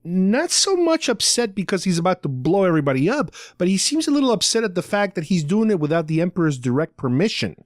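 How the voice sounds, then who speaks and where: A man's voice: sits high, big pitch swings, fast talker, hardly any pauses, dry recording, clean studio sound. A man hosting a show, explaining things conversationally in a casual fan discussion with a co-host. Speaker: podcast host.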